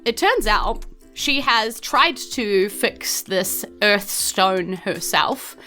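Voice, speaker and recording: A woman's voice: medium pitch, expressive, drawn-out words, sharp tone, soft background music. A woman with a New Zealand accent hosting as game master, narrating a tabletop game informally.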